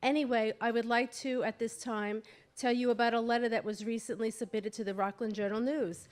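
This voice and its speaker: A woman's voice: fairly high pitch, fairly even intonation, fairly quick, darkish tone. A woman from New York who is losing her voice reads a prepared statement steadily and earnestly during public comment at a town hall meeting. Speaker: resident speaking at public comment.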